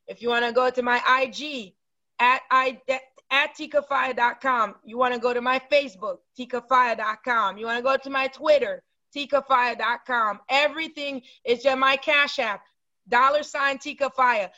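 Bright, high-voiced woman from Haiti. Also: no echo, dull audio. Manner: lively, laughs often, speaks in quick bursts. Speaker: guest, business owner. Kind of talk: casual interview chat.

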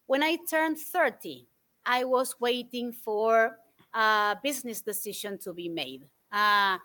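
A woman in her forties from Argentina, guest on an interview show, telling stories in an animated, thoughtful way with many fillers. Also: fairly high pitch, slow pace. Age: 40s. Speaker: guest speaker. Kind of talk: interview answers, storytelling.

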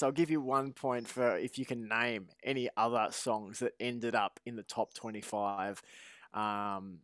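Aussie guy running a pub-style quiz with mates, playful, mid pitch, dry close recording. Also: fairly bright tone, no echo. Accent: Australian. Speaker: podcast quizmaster. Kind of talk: casual quiz hosting among friends.

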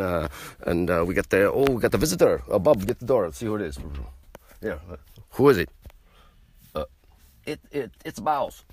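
A man doing a rambling mock-show host. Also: low-pitched. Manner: rambling, halting, many fillers. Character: mock Russian man hosting a comedy show. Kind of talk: improvised comedy monologue.

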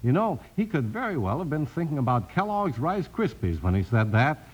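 A man's voice: on the high side, very animated, fairly quick, slightly rough, muffled recording. A man announcing and narrating an old radio western in a polished, dramatic, scripted 1950s style.

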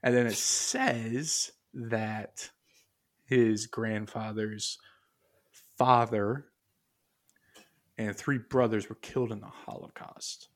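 A man's voice: on the low side, slow, pauses often. A man co-hosting a casual podcast, rambling and hesitant, full of fillers and restarts as he reads aloud from his notes. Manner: muses aloud while reading from notes. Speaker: podcast co-host.

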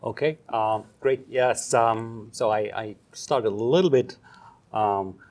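A man in his fifties from Germany, speaking English with a German accent as a psychology professor answering interview questions thoughtfully, with frequent pauses and fillers. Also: mid-range voice, darkish tone. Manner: reflective, pausing with many ums and uhs.

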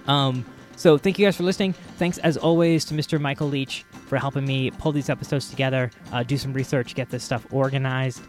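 A man hosting a podcast, chatting casually and haltingly to his listeners with a fan's enthusiasm. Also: medium pitch, steady tone, faint music behind. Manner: halting, enthusiastic fan talk. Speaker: podcast host. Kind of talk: casual solo chat to listeners, commentary.

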